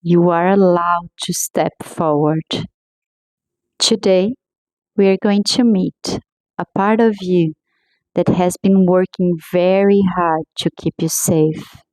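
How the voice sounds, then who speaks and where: Woman doing a guided meditation, super slow and soothing, dry close sound. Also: fairly low pitch, fairly even intonation, no echo, rough recording. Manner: slow, soothing, gently paced.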